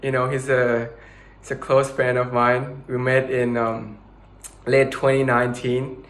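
A man's voice: medium pitch, little pitch movement, measured pace, a bit bright. Giggly young man in an echoey room. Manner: giggly, rambling, lots of fillers. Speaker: podcast host. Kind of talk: casual chat.

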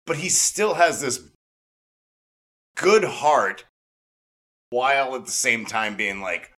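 A man co-hosting a podcast interview, chatting casually in an excitable, blunt, slangy way and often repeating himself as he goes. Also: mid-range voice, slow. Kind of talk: casual enthusiastic chat.

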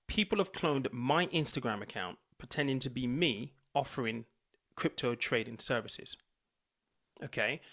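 Guest man, UK-based, earnest explainer, acts out dialogue, mid pitch. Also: a bit bright. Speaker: financial coach, guest. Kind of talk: conversational storytelling.

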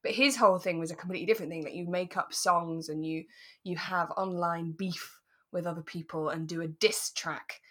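A woman's voice: on the low side, fairly even intonation, brisk, a bit bright. Chatty British woman in casual conversation. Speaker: podcast co-host. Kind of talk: casual podcast chat.

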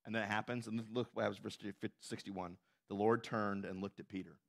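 A man's voice: fairly low pitch, fairly quick, gravelly. A man preaching a sermon as pastor, conversational, often asking "right?" and acting out quotes with a raised voice. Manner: animated, acts out quotes with raised voice.

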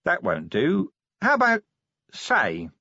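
A man's voice: a little high, huge pitch swings, takes it slowly, pushed and bright, no room sound, dull audio, pitched above the performer's own voice, heavily acted. A man voicing a shrewd city watch commander in an audiobook, wry and matter-of-fact. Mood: dry.

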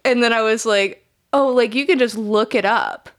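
A woman's voice: on the high side, moderate pace. Chatty young woman who swears a lot. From United States. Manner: chatty, laughing, swears freely, lots of "like". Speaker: guest. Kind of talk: casual podcast chat.

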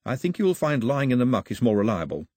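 A man reads a young island hero from a storybook, irreverent and stubborn. His voice is husky with lively pitch, and his dry retorts come out firm and defiant. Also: fast, no room sound. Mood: wry.

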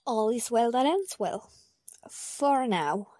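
An actress playing a composed, thoughtful advisor in an audio drama, measured and matter-of-fact. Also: female voice, a little high, animated, a little mellow, dry recording. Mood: composed, advising.

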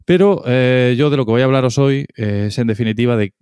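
A man's voice: mid-range voice, fairly quick, a little mellow, clean tone, no echo, clean studio sound. A man from Spain hosting a casual discussion, talking hesitantly with many fillers and restarts. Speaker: podcast host.

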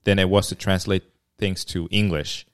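A man from Mexico hosting a casual podcast chat, speaking unaccented English in a relaxed, hesitant way with many fillers and restarts. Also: sits low, moderate pace, clear, resonant tone. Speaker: podcast host.

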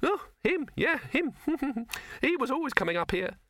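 A man's voice: very high voice, big pitch swings, measured pace, a bit bright, no room sound, clean studio sound, pitched above the performer's own voice, heavily acted. A man voicing a small, feisty talking rabbit with a sardonic, streetwise manner that takes offence easily, in a storybook reading. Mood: brash.

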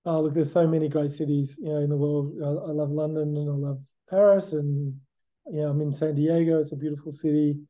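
Bright Australian man, reflective interview guest. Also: fairly high pitch, little pitch movement, a little fast, rough recording. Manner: reflective, frequent "you know" and "look". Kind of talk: conversational interview answers.

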